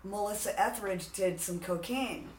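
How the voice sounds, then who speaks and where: A man with a raspy voice, deepened by testosterone, talking candidly and animatedly as a musician guest in a casual interview. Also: normal speed, reverberant, a little hiss or hum, poor audio. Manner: candid, animated, frequent "like" fillers.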